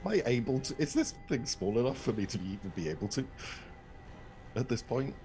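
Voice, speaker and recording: A man's voice: mid-range voice, lively intonation, brisk, dark tone. A man playing a tabletop role-playing game, talking casually and thinking aloud about his character. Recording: music behind the voice.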